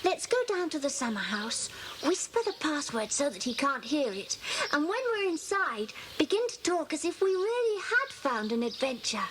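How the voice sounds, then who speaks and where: Bossy, high-voiced scheming schoolgirl. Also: very animated, normal speed. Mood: scheming, excited. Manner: boastful, emphatic, conspiratorial. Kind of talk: children's audiobook dramatisation. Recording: noisy old cassette transfer.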